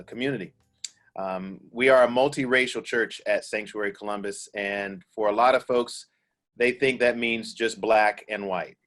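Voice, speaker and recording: A male pastor with a lowish voice leads a panel talk over a video call. He speaks earnestly but haltingly, leaning on fillers as he gathers his thoughts. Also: a bit bright. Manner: hesitant, many fillers, earnest. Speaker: pastor and moderator.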